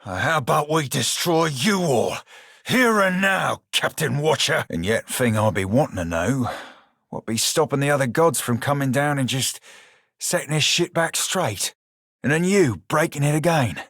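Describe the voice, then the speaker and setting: Husky rogue for a video game, sardonic sailor drawl, rough edges, real dry close recording. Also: male voice, medium pitch, expressive, moderate pace, fairly bright tone, no echo. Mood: wary, incredulous. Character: roguish blue shark-kin pirate, salty, sardonic, foul-mouthed. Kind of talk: video game character dialogue.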